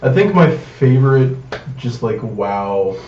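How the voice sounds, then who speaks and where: A man's voice: mid-range voice, lively intonation, slow, a little mellow. A young man co-hosting a casual gaming podcast, talking with swearing and hyperbole. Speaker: podcast co-host. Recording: distant echoey dull mic, noisy room.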